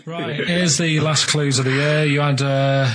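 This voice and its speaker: A man's voice: fairly high pitch, steady tone, a little slow, background noise. A man presenting quiz clues in casual radio banter, explaining them plainly.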